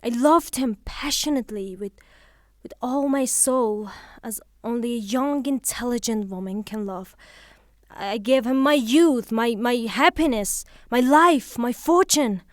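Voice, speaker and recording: Woman acting a proud, high-voiced young widow. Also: a little slow, no echo, clean studio sound, natural voice. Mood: wounded, passionate. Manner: haughty, dramatic. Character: proud, passionate young widow, easily affronted. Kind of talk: theatrical audio drama acting.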